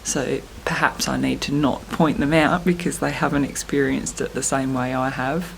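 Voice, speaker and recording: A woman speaks slowly and thoughtfully in a low, gravelly voice that sounds croaky from singing. She talks candidly, with frequent pauses and fillers. Faint noise sits behind her. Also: fairly even intonation, low-quality recording. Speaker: musician vlogging at a festival. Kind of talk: reflective casual vlog talk.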